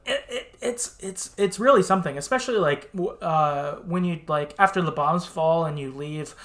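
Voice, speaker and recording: A man co-hosting a podcast in a casual discussion, informal and often halting as he searches for words. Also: a little high, a bit bright. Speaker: podcast co-host. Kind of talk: casual video game discussion.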